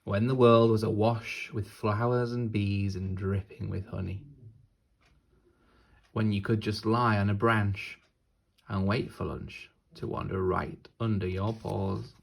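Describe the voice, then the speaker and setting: Slow, gravelly man reading a storybook. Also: lowish voice, level tone. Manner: deliberate, dramatic pauses. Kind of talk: children's storybook narration.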